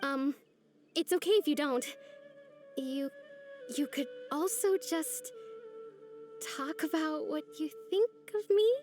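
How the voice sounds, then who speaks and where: Shy young village girl, game role: high pitch, unhurried delivery, earnest, tentative. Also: a little mellow. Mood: shy, hopeful. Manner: hesitant, self-conscious, trailing off. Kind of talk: video game voice acting. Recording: background music under the voice.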